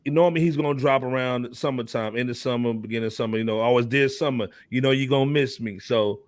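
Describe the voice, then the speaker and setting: A man's voice: medium pitch, fast talker, no echo. An American man hosting a casual hip hop discussion, speaking in slang with emphatic repetition. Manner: slangy, emphatic, repeats himself. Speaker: podcast host.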